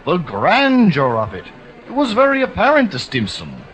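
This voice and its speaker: A man narrating a classic short story with expressive, dramatic storytelling. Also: sits high, normal speed, faint music behind, low-quality recording. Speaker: audiobook narrator. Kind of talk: scripted narration.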